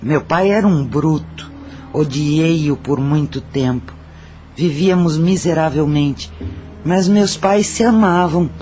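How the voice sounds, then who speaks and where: A man's voice: on the high side, slow pace, warm tone. A man playing a murdered brothel madam who unburdens herself reflectively in an audio drama. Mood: burdened, reflective. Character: murdered brothel madam with intuitions, burdened, confessional. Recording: music under the voice.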